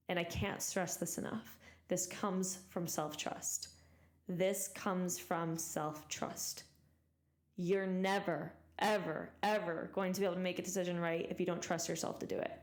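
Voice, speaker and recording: Twenty-something woman podcaster, pushy motivational talk, repeats stuff for punch, lowish voice still getting over losing it. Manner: emphatic, repeats phrases for stress. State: recovering from voice loss. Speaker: podcast host.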